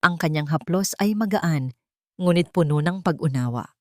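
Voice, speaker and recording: A woman with a Filipino accent narrating a scripted romantic drama in an expressive, emotive storytelling manner. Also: sits low, moderate pace, no echo, clean studio sound.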